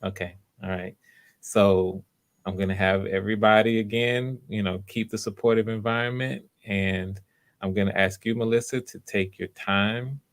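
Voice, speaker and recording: A man's voice: fairly low pitch, steady tone, moderate pace. A man hosting live auditions in a casual, encouraging and reassuring way. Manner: supportive, reassuring. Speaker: host running live auditions.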